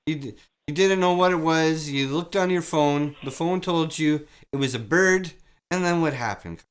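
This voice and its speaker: A man's voice: on the high side, normal speed, clean tone. A man hosting a comedic public-access call-in show, offhand and fumbling, often echoing what his callers say. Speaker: host.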